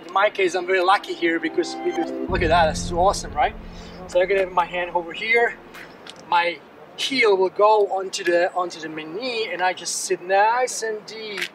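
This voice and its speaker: High-voiced coach heard from afar outdoors. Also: male voice, normal speed, noisy background. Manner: calm, encouraging, repeats words often. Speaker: fitness coach. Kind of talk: guided stretching instruction. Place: outdoors on a windy seaside headland. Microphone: far camera. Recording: distant outdoor audio with music.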